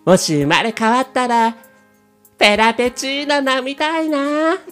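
A man's voice: very high voice, unhurried, a bit bright, faint music behind, voice pushed up. A man playing an earnest, brave heroine who leads her comrades in an amateur radio drama. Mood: tender, wistful.

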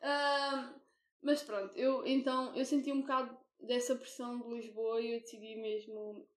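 A woman's voice: on the high side, steady tone, moderate pace, reverberant. A young woman with a European Portuguese accent, co-hosting a casual podcast chat, hesitant and full of fillers and restarts. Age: young adult. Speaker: podcast co-host.

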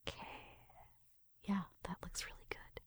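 A woman playing a shy, flirtatious roommate in an intimate ASMR roleplay, speaking in a breathy, hesitant, tender whisper. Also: measured pace, dry recording, close to an everyday voice. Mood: softly focused. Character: shy, flirtatious female roommate giving an affectionate Halloween makeover.

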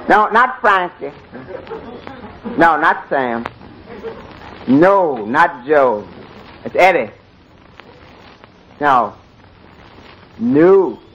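A man's voice: sits high, exaggerated voice. A man playing a sheepish, folksy, lovesick young waiter in a 1940s radio comedy. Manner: slow, sheepish, chuckling, folksy. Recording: muffled, noisy 1940s radio, loud studio audience.

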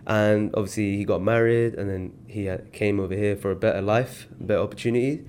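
A young British man, telling stories in a relaxed, slangy way as a podcast guest. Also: fairly low pitch, fairly quick, a little hiss or hum. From Britain. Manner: relaxed storytelling with slang and fillers. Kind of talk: casual podcast chat.

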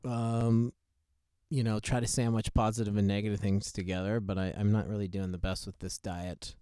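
Low-voiced rambling male podcast host. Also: level tone, normal speed, warm tone, smooth, no room sound, clean studio sound. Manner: rambling, many fillers and restarts. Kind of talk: casual podcast chat.

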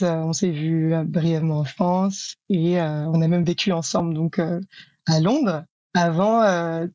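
A woman's voice: fairly low pitch, a little mellow, dull audio. A woman in her thirties hosting a podcast interview, easygoing and hesitant with frequent fillers in conversation. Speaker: podcast host. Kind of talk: scripted podcast intro, then casual interview chat.

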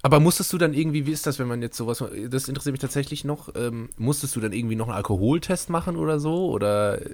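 A man's voice: medium pitch, racing, hardly any pauses. A man co-hosting a podcast in casual, colloquial chat with short, teasing interjections. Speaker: podcast co-host.

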